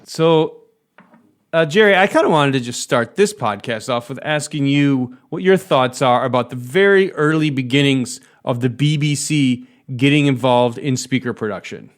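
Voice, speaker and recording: A man's voice: mid-range voice, normal speed. American man hosting a casual podcast. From United States. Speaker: podcast host.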